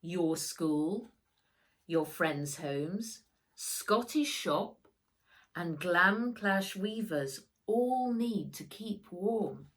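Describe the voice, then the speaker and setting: A patient father from a children's story, voiced by a woman reader. He sounds reasonable and apologetic as he explains. His words come slowly, with plenty of melodic movement. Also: fairly low pitch, lively intonation. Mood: explaining.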